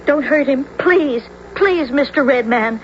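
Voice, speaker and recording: An anxious teenage girl in an old radio adventure. Her voice is high and very expressive, earnest and hesitant, on a muffled recording with faint hiss. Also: unhurried. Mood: frightened, pleading. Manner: earnest, hesitant, youthful colloquial speech. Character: anxious, bookish teenage girl, cautious sister. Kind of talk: 1970s radio drama acting.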